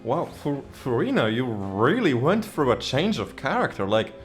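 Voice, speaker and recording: A man's voice: mid-range voice, sing-song, normal speed. A man streaming a game playthrough with casual, reactive, informal and sweary commentary. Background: game music.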